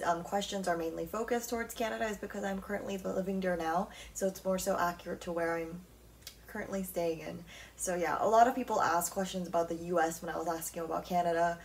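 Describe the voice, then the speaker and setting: Young woman, podcast host, casual chat, lots of fillers, slightly low voice, mic at a distance. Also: a little hiss or hum. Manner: chatty, frequent "like" and "um" fillers.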